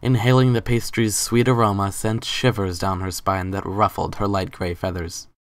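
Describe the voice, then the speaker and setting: Low-pitched man narrating a story. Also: flat delivery, moderate pace, no room sound. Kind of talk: dramatic scripted story narration.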